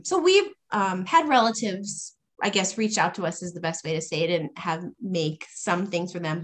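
A woman guest on a podcast telling stories in a relaxed, thoughtful way, often pausing with "um". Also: lowish voice, slight background noise. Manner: thoughtful, hesitant with frequent ums. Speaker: interview guest. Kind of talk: casual conversational storytelling.

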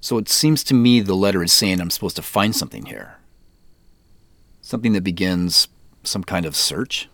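A man's voice: deep voice, lively intonation, moderate pace, creaky, a bit bright, no room sound, full character voice. A man acting as a guy on a family quest in an audio drama, in natural, conversational, slightly hesitant dialogue. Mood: pensive, intrigued. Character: Joseph, adult man on a mysterious family quest.

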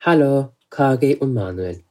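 A man's voice: medium pitch, lively intonation, unhurried, a little mellow. A man from Norway, a German speaker, leaving a prepared audio question as a listener. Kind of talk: prepared voice message.

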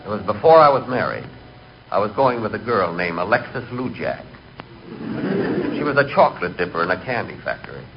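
A man's voice: fairly low pitch, very animated, brisk, over music. A man acting a timid, neurotic and comically vain storyteller in 1940s radio comedy. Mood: deadpan, confiding. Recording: muffled, hissy 1940s radio recording.

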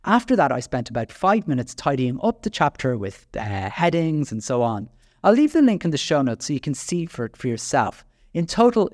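A man's voice: medium pitch, no room sound, studio quality. A man with an Irish accent hosting a solo podcast, chatty and self-deprecating, with frequent fillers and restarts. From Ireland. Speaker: podcast host.

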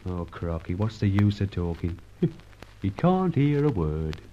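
A man's voice: low-pitched, very animated, unhurried, slight background noise. A man playing a cheeky working-class sidekick in an old radio serial, chatty, colloquial and jocular. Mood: cocky. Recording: dull, lo-fi old radio recording.

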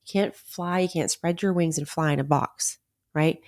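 Middle-aged woman podcast host, low and raspy with a voice nearly gone, blunt and profane, recorded dry and close. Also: fairly even intonation, normal speed, darkish tone, dry recording. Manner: blunt, swears freely. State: raspy, nearly losing her voice. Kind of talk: casual solo podcast talk.

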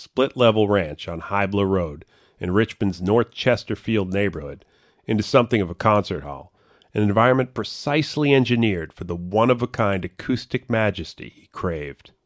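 A man's voice: on the low side, no echo, clean studio sound. A man reading a scripted, narrative feature story as a reporter and narrator.

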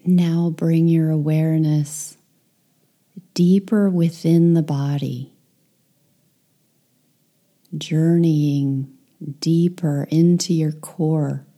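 A woman leading a guided meditation, speaking softly and soothingly, with some phrases whispered. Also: sits low, steady tone, extremely slow, long pauses.

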